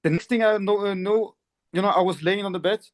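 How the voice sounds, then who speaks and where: Chatty man, higher voice. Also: fast. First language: Turkish. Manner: rambling, many fillers and restarts, laughs often. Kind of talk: casual chat.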